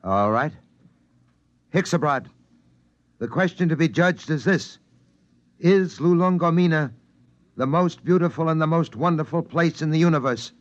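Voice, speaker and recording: Man playing a calm, shrewd spaceman, dry old recording. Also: fairly high pitch, normal speed, long pauses, no echo, close to an everyday voice. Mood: cool, deliberate. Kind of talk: 1950s radio drama acting. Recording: dull, dry vintage radio recording.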